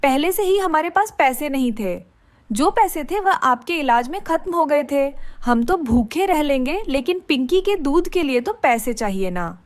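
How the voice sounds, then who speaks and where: Poor village wife played by a woman, fretful, high and animated delivery, animated moral tale, dry sound. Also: unhurried, no room sound. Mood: worried. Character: worried poor village wife and young mother. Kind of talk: Hindi animated moral-story voice acting.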